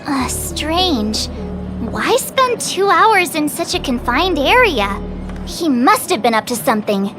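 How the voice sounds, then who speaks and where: Excitable young girl, amateur detective, game character, high-pitched, very animated, theatrical, music behind. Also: takes it slowly, exaggerated voice. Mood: suspicious, indignant. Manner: excitable, playful, dramatic. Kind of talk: animated video game voice acting.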